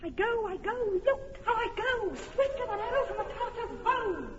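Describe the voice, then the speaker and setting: A giggly impish sprite. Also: female voice, extremely high pitch, lively intonation, normal speed, lo-fi sound, slight background noise. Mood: teasing. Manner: gleeful, teasing, bouncy delivery. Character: mischievous impish fairy sprite, playful and quick. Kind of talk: classic radio drama acting.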